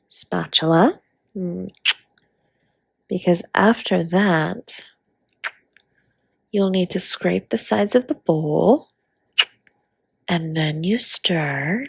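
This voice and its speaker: Husky, slow, flirty dragon baker spouse, played by a woman. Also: on the low side, no room sound, full character voice. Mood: playful, instructive. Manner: breathy, teasing, drawn-out, often dropping to a whisper. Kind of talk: intimate romantic audio roleplay addressed to listener.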